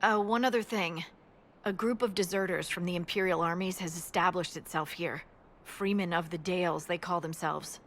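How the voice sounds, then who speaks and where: A woman's voice: on the low side, steady tone, moderate pace, lightly acted. A woman voicing a friendly, informal army scout in a video game, giving briefings conversationally. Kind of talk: video game dialogue acting.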